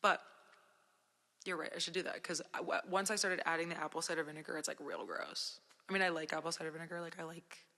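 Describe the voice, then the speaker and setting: Young woman from Jersey, voice worn hoarse after a cold; easygoing, rambling livestream chatter with lots of fillers, a long echo around her. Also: low-pitched, fast talker, bright, forceful tone. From New Jersey. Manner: laid-back, rambling, many "like" and "um" fillers. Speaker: independent musician livestreaming. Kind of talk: casual livestream chat with viewers.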